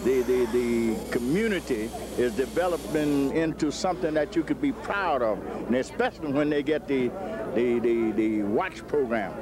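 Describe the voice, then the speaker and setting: An American man giving an unscripted interview answer, halting and repeating his words. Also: mid-range voice, a crowd in the background.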